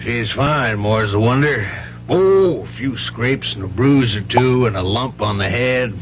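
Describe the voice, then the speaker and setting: Family doctor played by a male actor, folksy, stern yet reassuring, medium-pitched, vintage radio drama with clearly noisy, muffled sound. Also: animated, unhurried, natural voice. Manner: folksy, matter-of-fact, admonishing. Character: brisk, stern but reassuring small-town family doctor. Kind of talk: old-time radio drama acting. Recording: muffled, noisy old radio broadcast with hum.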